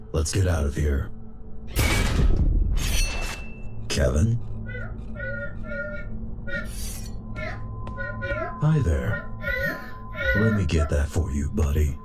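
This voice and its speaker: A sardonic cyborg ninja for a video game, battle-worn and deadpan. The voice sits low but swings widely in pitch, with loud music behind it. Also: male voice, slow pace, exaggerated voice. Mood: dry, weary.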